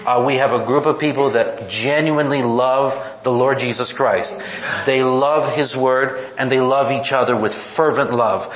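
A man's voice: medium pitch, steady tone, measured pace. A man who works as a missionary preacher, giving a rambling, self-deprecating report to a church congregation with many restarts. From born in the United States, raised in Mexico. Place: church meeting room. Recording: roomy hall echo.

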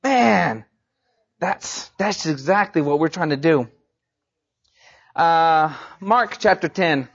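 Man, missionary preacher, high-pitched, emphatic, muffled lo-fi sound. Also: expressive, slow pace, creaky, long pauses, slightly rough. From Mexico. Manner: emphatic, rising to high pitch on key points. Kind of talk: sermon.

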